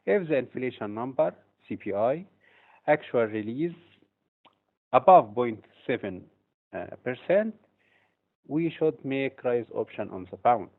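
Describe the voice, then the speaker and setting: A man from Egypt, a trading instructor, presents a webinar in a steady, explanatory way with occasional hesitations. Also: medium pitch, a little slow, long pauses. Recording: tinny phone-like audio.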